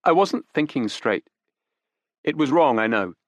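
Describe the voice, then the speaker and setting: A man's voice: expressive, measured pace, dry recording, close to an everyday voice. A man voicing a distraught young Englishwoman in an audiobook, pleading and shaky. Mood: tearful. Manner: breathless, pleading, high and shaky. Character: distraught young woman, tearful and desperate. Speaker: murder suspect's daughter. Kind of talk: audiobook character voice.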